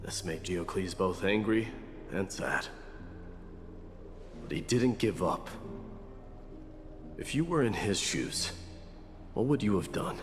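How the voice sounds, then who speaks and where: A brooding warrior heir from a fantasy game, low in pitch and fatalistic. He speaks with measured, solemn resolve, like a storyteller, in a long hall reverb. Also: lively intonation. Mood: grave, measured. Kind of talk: dramatic video game dialogue. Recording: long hall reverb, clear background music.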